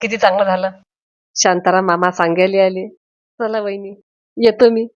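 A woman acting as an eager, delighted young man in a comedy cartoon. The voice is mid-pitched for a woman, in a dull, dry recording. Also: steady tone, moderate pace, no room sound, lightly acted. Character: Pappu, eager, delighted young brother-in-law. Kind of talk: homemade comedy cartoon dialogue.

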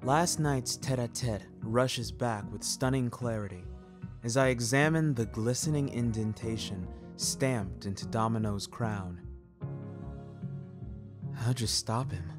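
A man reading scripted first-person fiction in a deliberate, ornate and measured storytelling manner. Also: mid-range voice. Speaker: narrator of a serial audio story. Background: music.

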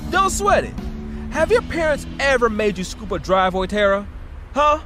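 A man voices a teenage boy detective in an audio drama. He is casual, earnest and wry, with a slightly high, animated delivery. Music runs underneath. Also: normal speed, heavily acted. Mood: exasperated, earnest. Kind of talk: audio drama narration and dialogue.

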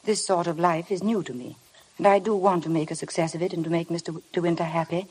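An actress playing a timid, eager young bride in a 1950s radio drama, speaking earnestly and politely. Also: female voice, fairly low pitch, steady tone, fast, darkish tone, husky. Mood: nervous, polite.

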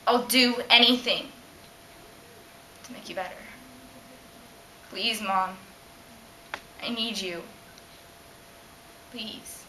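A woman's voice: fairly high pitch, lively intonation, moderate pace, hardly any pauses, pushed and bright. A thirteen-year-old girl acting an emotional, hurt teenage daughter in a dramatic stage monologue. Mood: pleading. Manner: emotional, earnest. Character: hurt, pleading teenage daughter of a drunk mother. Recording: echoey noisy room, distant mic.

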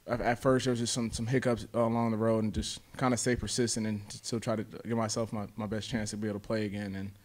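A man's voice: fairly low pitch, flat delivery, quick pace, few pauses. An American man in his twenties, a college football player answering questions at a press conference. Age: 20s. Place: indoor press conference room. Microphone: table microphone.

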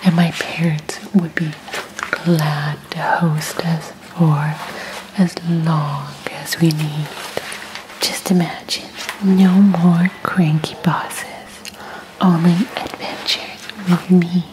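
Casting: woman to play sweet alien housewife for ASMR roleplay, soothing whisper, very slow, lowish pitch. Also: flat delivery, noisy background. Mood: reassuring. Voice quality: breathy whisper. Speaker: ASMR role-player.

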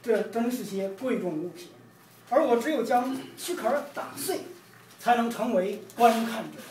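A man's voice: very high-pitched, animated, moderate pace, darkish tone. Man from China reciting poetry, echoey room. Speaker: poet reading own work. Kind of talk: poetry reading. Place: room with large windows, indoors. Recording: distant roomy event recording, background noise.

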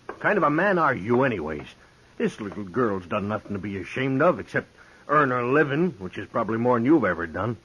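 An actor playing a gruff, loyal frontier bartender with blunt, folksy speech in a 1950s radio western. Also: male voice, mid-range voice, big pitch swings, fairly quick, fairly bright tone. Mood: indignant, protective. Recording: dull 1950s radio recording.

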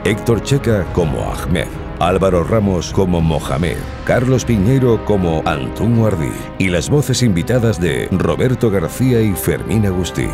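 A man's voice: low-pitched, very animated, a little slow, over music. A man reading scripted drama credits as an announcer.

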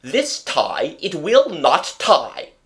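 Narrator playing a pompous storybook dad in a huff, loud and sarcastic, big swings in pitch. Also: wildly swooping pitch, drawn-out words, full character voice. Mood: sarcastic rage. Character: blustering pompous father, grown man.

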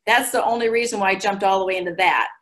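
A woman in her forties giving chatty, animated coaching advice over a video call from her living room. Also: medium pitch, fast talker. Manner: chatty and animated, gestures a lot. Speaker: guest recruiter. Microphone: video call laptop mic.

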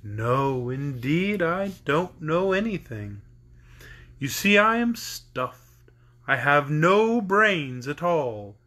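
A gentle scarecrow stuffed with straw, put on by a storyteller, speaking in a husky voice. He draws every word out slowly and politely, and his tone stays bright and lively. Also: a little high, exaggerated voice. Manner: slow, drawn-out, polite speech. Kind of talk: storybook reading.

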